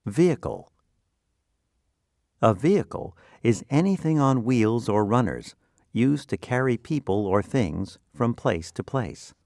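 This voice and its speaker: A man reading scripted word definitions and example sentences for learners in a deliberate, instructional manner. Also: medium pitch, expressive, darkish tone, no room sound, clean studio sound. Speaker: dictionary narrator.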